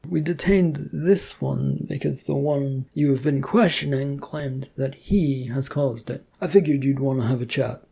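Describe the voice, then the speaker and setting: A narrator voicing a brisk, formal male police officer in a polite, official manner. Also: mid-range voice, steady tone, creaky, dark tone, husky. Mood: businesslike. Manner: polite, official, matter-of-fact.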